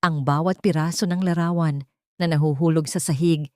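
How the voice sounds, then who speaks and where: A woman's voice: low voice, steady tone, moderate pace, no echo, studio quality. A woman reading dramatic scripted fiction as an audiobook narrator.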